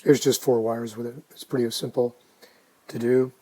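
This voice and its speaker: Mellow man, casually narrating a DIY project. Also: medium pitch, flat delivery, normal speed, rough recording. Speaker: hobbyist restorer narrating a project.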